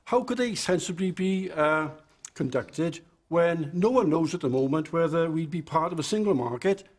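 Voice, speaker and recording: Higher-pitched man speaking from the audience. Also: expressive, moderate pace. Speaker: audience member. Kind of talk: rhetorical question from the audience.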